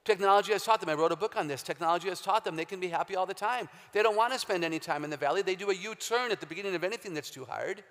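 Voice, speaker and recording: A woman with a very low, deep voice giving an emphatic, humorous faith talk as a guest speaker, quizzing a live audience in a large hall. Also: racing, hardly any pauses. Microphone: handheld or stage microphone. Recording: long hall reverb, live stage talk.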